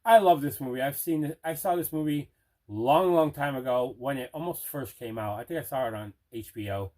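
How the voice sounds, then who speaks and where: A man's voice: mid-range voice, fairly quick, a little mellow. Middle-aged man showing off finds. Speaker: host of a movie collecting show. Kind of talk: casual unboxing show-and-tell.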